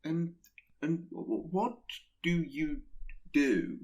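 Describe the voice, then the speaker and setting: Man acting a stammering, husky, nervous Pestilence. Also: on the high side, slow, darkish tone, dull audio. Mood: nervous, evasive. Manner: hesitant, stammering. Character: nervous, awkward male Pestilence, secretly admiring catastrophe. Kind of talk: radio play acting.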